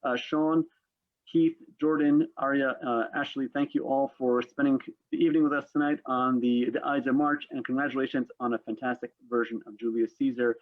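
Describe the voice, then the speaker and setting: A man's voice: medium pitch, fairly even intonation, fairly quick. A man hosting an online panel discussion in semi-formal talk, over a video call. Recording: thin phone-like video call audio.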